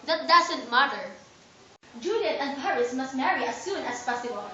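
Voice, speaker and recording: An excited father of the bride, played by a woman, fires off hurried orders in a very high, bright voice. The mic sits far away in a roomy, echoing space, and the sound is dull and slightly noisy. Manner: eager, bustling orders.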